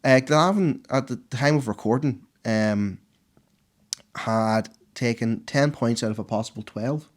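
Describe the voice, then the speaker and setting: A hoarse man with a Northern Irish accent who co-hosts a podcast and gives the sport round-up with animated, excitable enthusiasm. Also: mid-range voice, measured pace. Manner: enthusiastic, animated, laughs easily. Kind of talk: casual podcast chat.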